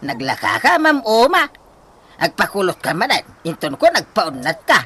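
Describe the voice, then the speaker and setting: An actor playing an exasperated, teasing husband in a Philippine radio comedy-drama, grumbling in an animated way. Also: male voice, high-pitched, normal speed, slightly rough, full character voice. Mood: teasing exasperation.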